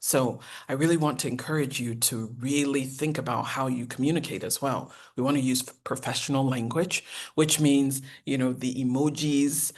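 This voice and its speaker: A woman, teaching a professional etiquette masterclass in an earnest, encouraging way with deliberate enunciation. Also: steady tone, darkish tone. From United States. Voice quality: deep voice. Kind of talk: masterclass lecture.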